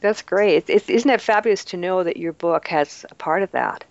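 A woman's voice: fairly low pitch, moderate pace, creaky, no echo, muffled recording. A woman hosting a book interview, encouraging her guest with short affirmations. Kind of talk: interview host.

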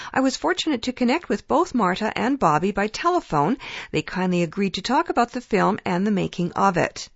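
A woman from Canada hosting a radio program, introducing a guest and asking measured questions. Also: mid-range voice, dry recording, dull audio. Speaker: radio program host, interviewer. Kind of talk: radio interview.

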